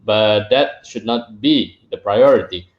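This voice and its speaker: A man's voice: lowish voice, slow pace. A man who grew up in Singapore, hosting a casual interview, talking in a thoughtful way and getting emphatic when he jokes. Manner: thoughtful, reflective, grows emphatic when joking. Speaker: podcast host. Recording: echoey, dull lo-fi room sound.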